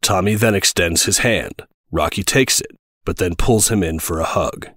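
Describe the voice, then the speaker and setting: A man's voice: low-pitched, normal speed, fairly bright tone, dry recording, clean studio sound. A man reading scripted narration for an audio drama.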